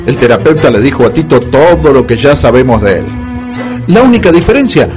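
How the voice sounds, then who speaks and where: A man's voice: mid-range voice, very animated, brisk. A man narrating a scripted radio comedy with a Rioplatense Argentine accent. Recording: music playing under the narration.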